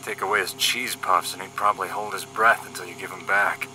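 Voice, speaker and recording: A man acts out a wisecracking young male agent in an audio drama. His voice sits fairly low but has a very sharp, forceful edge, and he banters flippantly over a faint hum. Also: steady tone, fairly quick, poor audio. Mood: grumbling. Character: wisecracking, cocky young male paramilitary agent, teasing optimist. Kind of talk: scripted audio drama dialogue.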